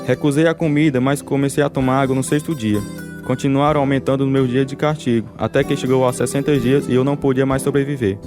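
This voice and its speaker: A persecuted, devout husband in prison , played by a man, recounts his ordeal in a Brazilian accent for a radio drama. His voice sits at a middling pitch over clearly heard guitar music. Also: lightly acted. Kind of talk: dramatized radio testimony narration.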